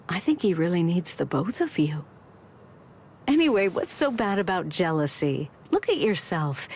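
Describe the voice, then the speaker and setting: A woman's voice: mid-range voice, a little mellow, husky. Confident, teasing big-sister woman with swooping intonation. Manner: playful, coaxing, candid. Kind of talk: anime game voice acting.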